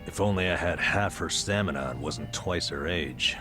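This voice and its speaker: An actor as very deep, weary burned-out man. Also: normal speed, natural voice. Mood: reflective, low-key. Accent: American. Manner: wry, self-deprecating, weary. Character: burned-out, self-deprecating former male nurse confiding a past. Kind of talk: video game dub, confessional storytelling. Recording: music behind the voice.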